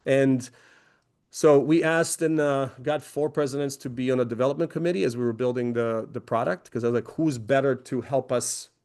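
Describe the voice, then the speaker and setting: A man's voice: mid-range voice, moderate pace, a little mellow. A man in his forties from Croatia, a company founder giving a conversational presentation with many fillers and restarts. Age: 40s. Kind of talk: webinar presentation.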